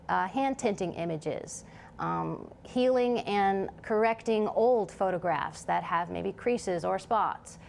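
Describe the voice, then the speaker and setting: A woman's voice: medium pitch, creaky, slight background noise. A woman speaking as an instructor, explaining in an interview style. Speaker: digital design instructor.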